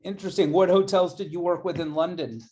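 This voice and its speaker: A man's voice: high-pitched, fairly even intonation, quick pace, a little mellow. A man hosting an online interview series, upbeat and effusive. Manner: enthusiastic, effusive. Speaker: interview host. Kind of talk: upbeat video-call interview.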